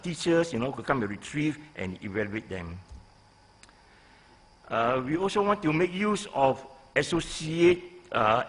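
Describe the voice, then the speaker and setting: A man in his sixties with a Malaysian accent, a professor giving a deliberate, halting conference presentation into a lectern microphone, stressing key phrases. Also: on the high side, breathy edge. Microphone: gooseneck lectern microphone.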